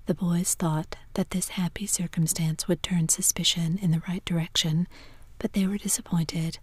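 A woman narrating a classic story entirely in a soft whisper. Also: fairly low pitch, steady tone, normal speed, slightly rough, no room sound. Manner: soft unvoiced whisper throughout.

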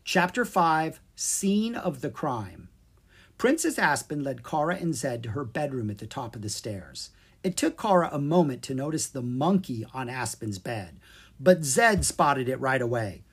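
A woman reading a children's fairy tale aloud as an expressive storyteller. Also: a bass voice, a little slow, slightly rough. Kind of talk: expressive scripted narration for children.

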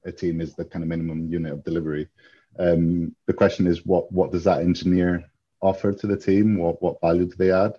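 Male interview guest from Greece, deep and mellow, halting and thoughtful delivery. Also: husky. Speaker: interview guest, software engineer. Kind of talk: reflective interview talk. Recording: dull, muffled lo-fi audio.

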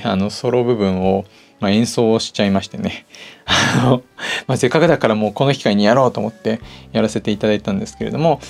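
A man's voice: on the low side, creaky, a little mellow. A middle-aged man hosting a personal audio show, talking casually and chattily to his listeners. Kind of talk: casual solo talk to listeners. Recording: music playing behind the voice. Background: background music.

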